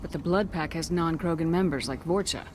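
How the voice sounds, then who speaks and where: An actress playing a tough, inquisitive military commander in a video game, with a direct, questioning manner. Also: female voice, low voice, brisk, a little mellow, a little hiss or hum, natural voice. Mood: direct, inquiring. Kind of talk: video game character dialogue.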